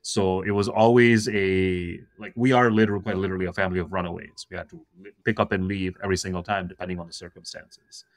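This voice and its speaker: A man in his forties from Russia chats as a podcast guest, his voice sitting fairly low and close to the mic in a dry, dead room. He tells stories with a comedian's energy. He laughs mid-sentence and slips into quick voices for the people he quotes. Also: fairly even intonation, dry recording. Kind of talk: casual podcast conversation.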